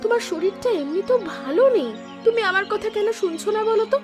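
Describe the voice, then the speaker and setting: A woman voicing a devoted, stubborn young village daughter in an animated folk tale, emotive and insistent. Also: very high voice, quick pace. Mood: scolding, caring. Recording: music behind the voice.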